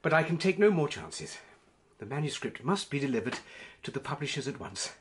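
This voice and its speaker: An excitable, earnest writer, poor and courteous, in a period radio drama. His voice is highish and very lively, over background noise. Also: a little fast. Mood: shaken, urgent. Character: shabby, earnest, excitable struggling writer friend.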